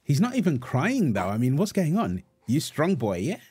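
A man playing a gentle, reassuring young father and husband in an intimate audio roleplay, talking in a soothing, affectionate, casual way. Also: a little high, huge pitch swings, normal speed, faint music behind. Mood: amused, playful. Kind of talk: intimate comfort roleplay, casual one-sided dialogue.

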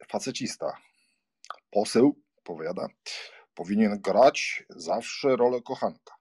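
An actor playing a worldly baron and diplomat in a dramatised audiobook, bantering and emphatic. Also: male voice, mid-range voice, darkish tone, no echo, clean studio sound.

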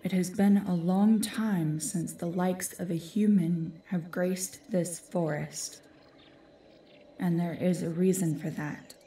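Casting: actress for ancient female woodland guardian, fantasy audio drama, stern and formal, slow delivery, very reverberant distant sound. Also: fairly low pitch, steady tone, warm tone. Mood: stern warning. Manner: formal, commanding, measured.

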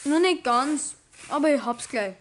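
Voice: sits high, lively intonation, unhurried, a little hiss or hum. A young performer playing a cheeky, sullen teenage apprentice boy with a Bavarian accent in a 1960s radio play, defiant and grumbling. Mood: defensive.

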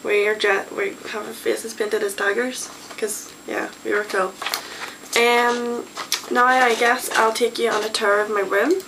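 A woman's voice: on the high side, flat delivery, unhurried, gravelly. An eighteen-year-old woman with a Northern Irish accent, chatting casually and self-deprecatingly in her bedroom, with many ums. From Northern Ireland. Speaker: vlogger. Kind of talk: casual vlog chat. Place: small bedroom at home. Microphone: built-in webcam mic. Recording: noisy home webcam recording.

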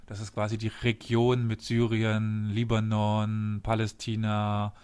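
A man's voice: on the low side, completely flat delivery, measured pace, darkish tone, clear, resonant tone. A young man explaining history in a casual podcast chat, thinking aloud and correcting himself as he goes. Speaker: guest presenter on a history podcast.

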